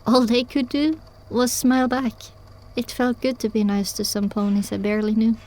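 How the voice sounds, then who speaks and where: Woman as high-voiced young mare storyteller. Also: flat delivery, darkish tone, close to an everyday voice. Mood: reflective, earnest. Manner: measured, earnest storytelling. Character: young mare pony narrating her own story, earnest, reflective. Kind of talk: scripted first-person audiobook narration.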